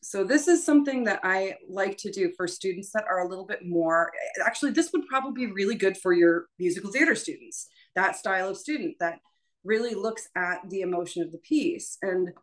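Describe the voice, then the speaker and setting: A woman voice teacher leading an informal prep session, explaining things in a halting, conversational and encouraging way. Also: medium pitch, animated. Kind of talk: informal spoken lesson.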